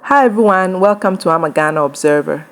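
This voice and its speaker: A woman's voice: lowish voice, brisk. A woman hosting her own channel, carefully translating an interview into English; Ga is not her first language.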